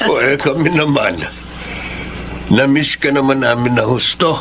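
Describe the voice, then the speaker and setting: A mid-range voice from an actor of a jovial older Filipino father. He is fond, teasing and fatherly in radio drama style, with clear ambient noise behind. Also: mid-range voice, a little slow, close to an everyday voice.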